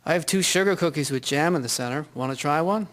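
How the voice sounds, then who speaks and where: A man's voice: on the high side, fast talker, a bit bright, lightly acted. A man playing a frank, chatty teenage schoolboy in a 1920s audio drama. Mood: frank, teasing. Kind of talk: scripted audio drama acting.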